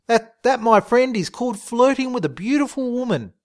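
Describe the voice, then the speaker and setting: A man voicing a cheeky, smooth-talking Australian larrikin in a comic audio story, with a wry, teasing manner. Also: very high-pitched, expressive, normal speed, voice pushed up.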